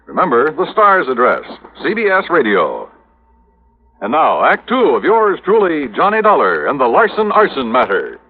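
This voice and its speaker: A man's voice: a little high, wildly swooping pitch, moderate pace, soft background music. An American man announcing on 1950s network radio with a showy promotional delivery. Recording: tinny, narrow-band vintage radio broadcast.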